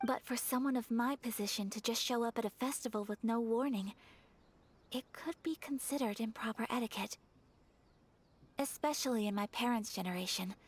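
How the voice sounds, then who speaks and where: A woman voicing a dutiful, reserved young noblewoman who speaks softly, politely and in a measured way. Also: fairly high pitch, husky. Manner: soft-spoken, polite and measured.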